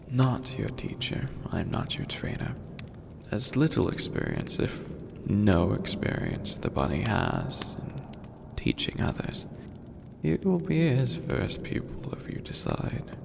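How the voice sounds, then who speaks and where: A man voicing an ancient skeletal demon overlord in an ASMR roleplay, calm, regal and formal, with a gravelly, breathy voice that often sinks to a whisper. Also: on the low side, full character voice. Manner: slow, deliberate, lofty and formal. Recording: long cavernous reverb, noisy ambient bed.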